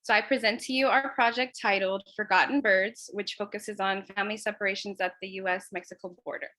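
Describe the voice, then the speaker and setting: Bright-voiced woman presenting her art project. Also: mid-range voice, steady tone, a little fast, low-quality recording. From Los Angeles, US. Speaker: resident artist presenting a project. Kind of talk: prepared presentation.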